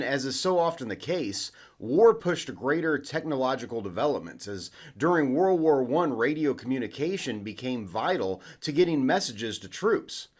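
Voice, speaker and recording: A man with an American accent narrating a scripted educational lesson in an emphatic explainer style. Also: medium pitch. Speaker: host of educational history videos.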